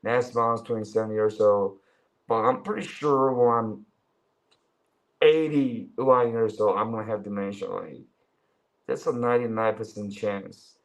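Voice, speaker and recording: Slow-talking young Chinese man streaming. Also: fairly low pitch. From China. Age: 20s. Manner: loose and swearing, calls listeners bro; mocking impressions in a raised voice. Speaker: livestream commentator. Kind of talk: casual livestream chat.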